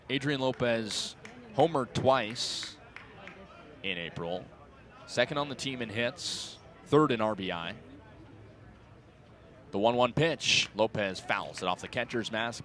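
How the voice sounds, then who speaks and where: A man's voice: mid-range voice, a little slow. Young man calling college baseball on radio. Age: young adult. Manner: clipped descriptive phrasing, rising excitement on plays. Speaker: baseball play-by-play announcer. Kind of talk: live radio sports commentary.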